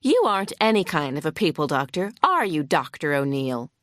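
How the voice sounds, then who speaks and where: A woman's voice: low voice, sing-song, moderate pace, no room sound, clean studio sound. A woman voicing a huffy, sarcastic female lawyer cross-examining a witness in an audiobook. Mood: huffy, badgering.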